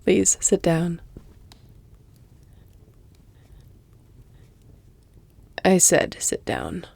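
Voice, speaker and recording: A woman voicing a flirtatious, predatory vampire lady in an intimate audio roleplay, teasing and haughty, often sinking into a breathy whisper. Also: on the low side, big pitch swings, slow pace, darkish tone, full character voice. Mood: amused, commanding.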